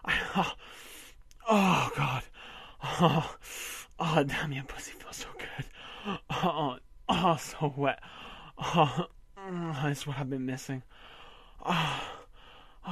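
A man voicing a domineering, possessive husband in an amateur audio roleplay, coarse and swearing, often dropping to a breathy whisper. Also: a little high, fairly bright tone, dry recording, heavily acted.

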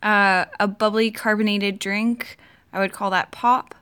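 Canadian woman, chatty and relaxed, casual accent-tag host. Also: medium pitch, measured pace, clear, resonant tone. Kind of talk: casual chat.

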